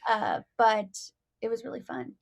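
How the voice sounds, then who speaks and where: A woman's voice: a little high, fairly even intonation, a little slow, vocal fry. A young woman, a rapper and songwriter, talking casually as an interview guest, bubbly and full of "like" and "you know.".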